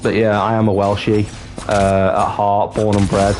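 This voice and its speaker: A young man from North Wales with a very deep, low voice chats with his livestream viewers in an easy, halting way, often restarting his sentences. Game music plays clearly underneath him. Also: little pitch movement, a little mellow. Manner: chatty, halting, with many restarts. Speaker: streamer. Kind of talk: casual livestream chat.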